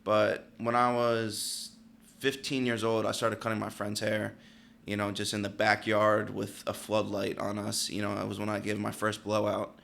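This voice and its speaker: Brief: gravelly young barber from Pennsylvania, low and flat, easygoing storyteller. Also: male voice, moderate pace, slight background noise. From Pennsylvania, United States. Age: late 20s. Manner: laid-back storyteller, leans on "like" and "you know". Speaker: barber and podcast guest. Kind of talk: casual interview chat.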